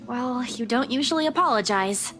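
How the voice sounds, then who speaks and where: A woman's voice: on the high side, lively intonation, moderate pace, fairly bright tone. An actress playing a flustered, caring young woman with a scolding streak in a visual novel, with music behind her. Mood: puzzled. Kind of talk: visual novel game dialogue.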